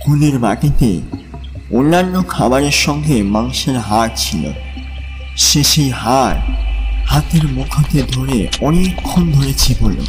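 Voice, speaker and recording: A man narrating a literary story as a dramatic audiobook, measured and suspenseful. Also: mid-range voice, expressive. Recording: long reverb on the voice. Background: faint music.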